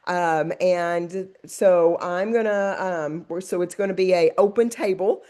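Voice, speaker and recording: A woman's voice: lowish voice, unhurried, a little mellow. Stuffed-up woman hosting a call. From Oklahoma. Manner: hesitant, many ums. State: stuffed-up nose. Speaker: call host. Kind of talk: casual video call.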